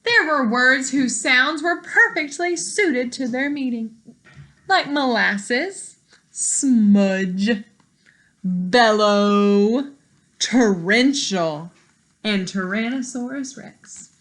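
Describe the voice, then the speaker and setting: A woman reading a picture book aloud to children in an expressive, teacherly way, pausing to explain words and put questions to young listeners. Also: fairly high pitch.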